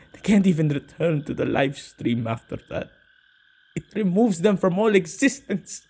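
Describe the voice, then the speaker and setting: A man playing an earnest, tender male witch who protects ghosts, speaking softly and intimately in an ASMR roleplay. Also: fairly high pitch, creaky, breathy edge, exaggerated voice. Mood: impassioned, upset. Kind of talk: ASMR boyfriend roleplay acting.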